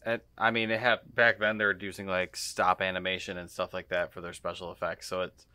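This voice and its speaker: A man with a fairly low, bright voice talks casually as a podcast co-host. His delivery is halting as he pieces thoughts together, and the sound is close and dry. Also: flat delivery, clear, resonant tone, no room sound. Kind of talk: casual chat.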